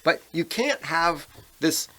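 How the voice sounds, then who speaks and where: A man's voice: a little high, lively intonation, takes it slowly, pushed and bright. An American man co-hosting a podcast, talking casually about politics in an opinionated, conversational way. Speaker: podcast co-host.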